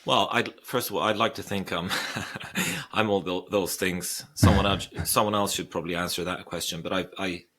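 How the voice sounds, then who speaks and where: Swedish guy in his 40s, low voice, thinks out loud, lots of restarts and "you know"s. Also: moderate pace. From Sweden. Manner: thoughtful, hesitant, many restarts. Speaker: investor guest. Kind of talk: interview.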